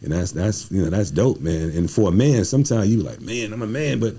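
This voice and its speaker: A man's voice: lowish voice, brisk, mellow, breathy edge. A man chatting casually as a podcast guest, telling personal stories and emphatically repeating his phrases. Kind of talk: animated casual conversation, personal storytelling.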